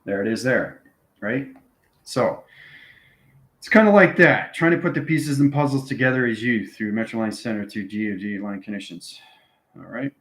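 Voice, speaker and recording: Male online instructor, lowish pitch, informal and repetitive, keeps checking in with listeners; roomy, echoing sound. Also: moderate pace, a bit bright. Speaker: online teacher of a spiritual live stream. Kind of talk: informal live lesson to viewers.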